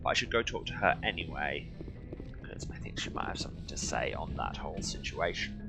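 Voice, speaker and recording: A man's voice: rumbling low, very animated, fairly quick, music in the background. A man giving casual, wry game commentary as a let's play streamer, with sarcastic asides. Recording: clear game noise behind the voice. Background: video game sound.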